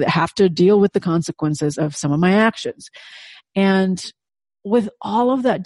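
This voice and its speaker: A woman's voice: on the low side, a little mellow, no echo. Reflective woman, Indonesian native speaker. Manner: reflective, conversational, with frequent "right?" asides. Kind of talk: personal storytelling talk.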